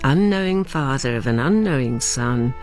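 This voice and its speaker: An actress playing a brooding, enchanted mother who narrates a radio drama about her son in a theatrical, measured storytelling manner. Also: very deep voice, animated, music in the background.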